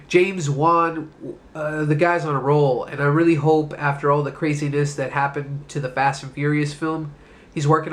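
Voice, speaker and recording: A man's voice: on the high side, a bit bright. A man hosting his own movie review video, speaking with a congested, stuffed-up voice from being sick and coughing now and then, in a loose, rambling way that includes casual swearing.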